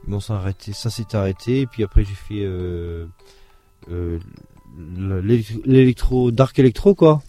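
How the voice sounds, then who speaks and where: A man's voice: lowish voice, normal speed, dark tone, soft background music. A man from France with a Belgian French accent, a composer rambling through casual interview answers. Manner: rambling, repeats himself. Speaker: composer of a duo.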